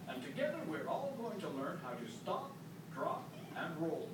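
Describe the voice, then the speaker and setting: A woman's voice: lowish voice, big pitch swings, moderate pace. A woman voicing a friendly, dramatic puppet presenter who teaches children with exaggerated delivery. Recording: echoey room, far from mic, loud noise.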